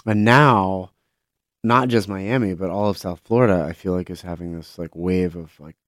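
Deep-voiced chef in casual chat. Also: male voice, fairly even intonation, normal speed, a bit bright, no echo. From born in Israel, raised in Pittsburgh. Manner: loose, says like and dude a lot. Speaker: chef, guest. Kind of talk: casual interview.

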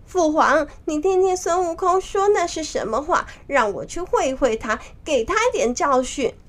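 A woman's voice: high voice, measured pace, voice pushed up. A woman voicing a proud, fiery child warrior prince in a children's story, indignant and exclamatory. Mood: eager, indignant.

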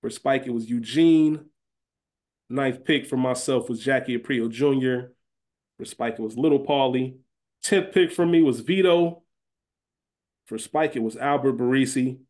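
A man's voice: mid-range voice, a little slow, a little mellow. A man chatting casually and emphatically as a podcast co-host, conversational in his delivery. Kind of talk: casual chat and recap.

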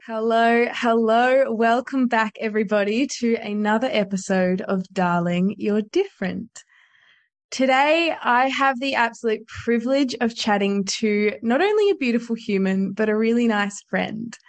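A woman's voice: a little high, moderate pace, no room sound. A woman hosting a podcast, chatting in an effusive, enthusiastic and casual way. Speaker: podcast host. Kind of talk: casual interview chat.

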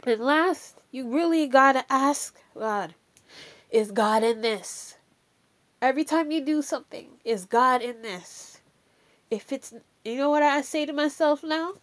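Slow, earnest woman with a Jamaican lilt. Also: sits high. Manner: earnest, emphatic, repeats key phrases; chatty, adds "you know" and "all right". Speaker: faith encouragement speaker. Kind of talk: casual motivational faith talk.

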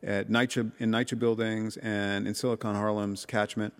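A man who is a law professor talking as a podcast guest, halting with many ums and restarts. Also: lowish voice, flat delivery, smooth, long reverb tail. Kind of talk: casual conversation.

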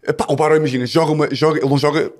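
A man's voice: a little high, steady tone, very fast. A man, co-hosting a football chat, slangy and scattered with mock-serious jokes. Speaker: podcast co-host.

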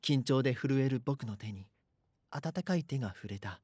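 A man's voice: mid-range voice, animated, moderate pace, darkish tone, slightly rough, no echo, clean studio sound. A man playing an anxious, bashful schoolboy who narrates his own story earnestly in a voice drama. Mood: shaken, grateful. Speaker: voice actor. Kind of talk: Japanese voice drama, first-person narration and dialogue.

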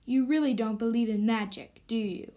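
A woman's voice: fairly high pitch, moderate pace, warm tone, pitched below the performer's own voice, lightly acted. A woman acting a self-assured, vain young man in an amateur radio drama, with a smug, commanding manner. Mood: patient, explaining.

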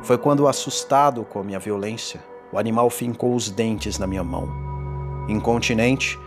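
A man playing a tormented condemned man in a gothic horror audio drama, confessing in a formal, theatrical and deliberate delivery. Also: on the low side, natural voice. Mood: dark, remorseful. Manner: theatrical, deliberate, ornate diction. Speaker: narrator. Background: music.

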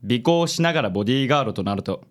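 Man as radio-drama dandy: mid-pitched, animated, smooth, conceited, self-assured. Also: racing, lightly acted. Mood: confident. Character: suave, vain handsome company president, sprinkles English. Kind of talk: Japanese comic radio drama acting.

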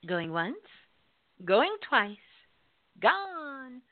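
A woman's voice: high-pitched, sing-song, drawn-out words, dry recording. A woman hosting a call-in radio show, teasing and playful, stretching her words for emphasis. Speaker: radio host. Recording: tinny phone-like sound.

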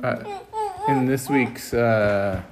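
A man in casual, reflective discussion, speaking thoughtfully and hesitantly with frequent pauses, recorded at home. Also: mid-range voice, very noisy. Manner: hesitant, thoughtful, pausing often. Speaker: family podcast co-host giving commentary.

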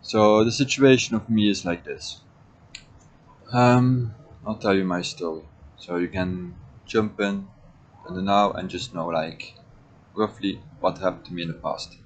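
Low-voiced man, hesitant monologue. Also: a little slow, pauses often, slightly rough. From Belgium (Flanders). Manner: hesitant, many fillers and restarts. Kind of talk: reflective personal monologue.

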